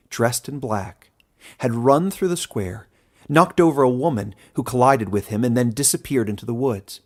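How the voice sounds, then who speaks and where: A man's voice: mid-range voice, darkish tone. A man narrating a scripted fantasy story as its author and reader.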